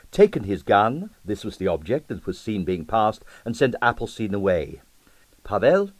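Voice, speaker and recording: A man's voice: mid-range voice, no room sound. A man reading a comic mystery story as a scripted, dramatic audiobook narration.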